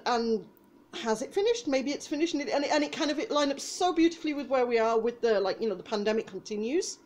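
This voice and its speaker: A woman's voice: a little high, darkish tone. A young adult woman speaking casually as an actor in an interview, telling stories with quoted asides over a video call. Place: small indoor room with blue backdrop.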